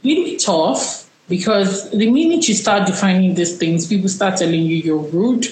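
A woman in her twenties, a Yoruba speaker, telling her personal story in an interview in a reflective, unhurried way, often trailing off and restarting. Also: mid-range voice, darkish tone, reverberant, slight background noise, low-quality recording. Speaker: interview guest.

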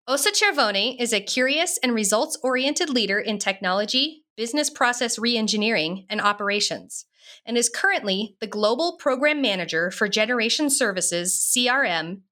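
A woman's voice: on the high side, sharp tone. A woman hosting an interview podcast, reading a guest's introduction and asking upbeat questions. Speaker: podcast host.

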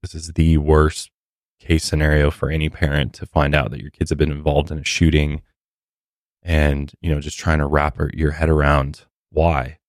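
A man's voice: extremely low pitch, flat delivery, normal speed, dry recording, studio quality. A man hosting a true crime podcast, narrating in an earnest, sympathetic, conversational way.

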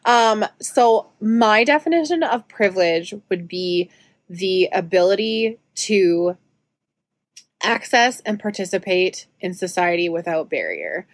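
A woman's voice: medium pitch, expressive, slow, no echo. Canadian woman, close dry sound. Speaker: podcast host. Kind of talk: casual group discussion.

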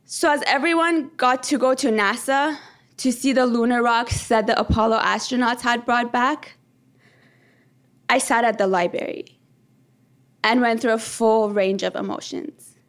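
A woman's voice: a little high, fairly even intonation, a little slow, long pauses. Earnest young woman telling a personal story. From Iran. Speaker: storyteller, PhD candidate. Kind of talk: first-person stage storytelling.

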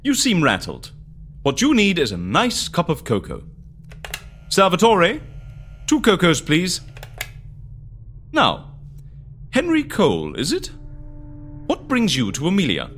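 Swooping, whimsical eccentric interviewer. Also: male voice, high-pitched, wildly swooping pitch, takes it slowly, long pauses, a bit bright, a little hiss or hum, heavily acted. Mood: solicitous. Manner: chirpy, playful, theatrical. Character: breezy, whimsical, cocoa-loving eccentric male interviewer. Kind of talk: audio drama acting.

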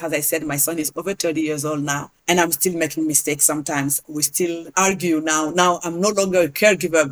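Woman advocate, middle-aged, talks earnest and lively, repeats herself a bit. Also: sits low. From Niger. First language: Hausa and Zarma. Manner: earnest, animated, repeats words. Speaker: guest, patient advocacy leader. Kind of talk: podcast interview.

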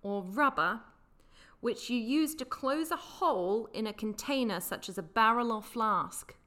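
A woman with a fairly high, bright and forward voice co-hosts a casual podcast. She explains slang in a chatty way and playfully corrects her partner. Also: measured pace. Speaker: podcast co-host.

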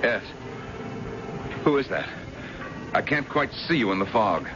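An actor playing a middle-aged, menacing and evasive husband in a radio mystery drama. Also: male voice, on the low side, big pitch swings, over music. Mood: wary. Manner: halting excuses turning to menace. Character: menacing older husband, evasive, then coldly vindictive toward his wife. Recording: noisy, muffled old radio broadcast recording.

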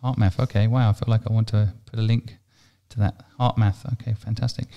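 A man in his forties hosting an interview, asking quick, curious questions. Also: lowish voice, little pitch movement, vocal fry, mellow, muffled recording. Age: 40s. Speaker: podcast host. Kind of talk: conversational interview.

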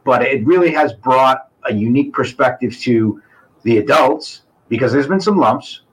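A man's voice: medium pitch, expressive, normal speed, breathy edge, poor audio. A man, an assistant superintendent, explaining school programs earnestly as an interview guest, listing points one after another.

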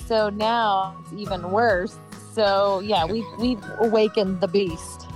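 A woman's voice: medium pitch, steady tone, slow, over music. A woman co-hosting a podcast over the phone, chatting playfully and teasing the host. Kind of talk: casual chat.

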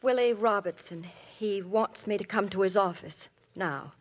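A woman's voice: mid-range voice, moderate pace, close to an everyday voice. An actress playing a married woman in love with a priest, speaking in an intense, emotional, pleading way in a 1950s radio drama. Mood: resigned.

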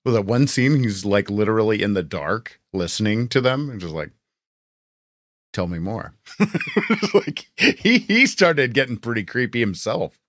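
A man's voice: lowish voice, big pitch swings, unhurried, fairly bright tone, no room sound, clean studio sound. A man talking casually as a co-host in a fan discussion podcast.

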